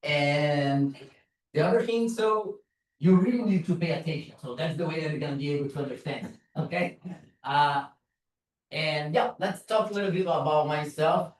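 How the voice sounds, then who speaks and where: A man's voice: a little high, moderate pace. A man from Brazil with a Brazilian Portuguese accent, giving a casual, self-deprecating conference talk as a security consultant. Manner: casual, self-deprecating, frequent "like" and "you know". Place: large conference room. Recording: echoey hall, voice from far off.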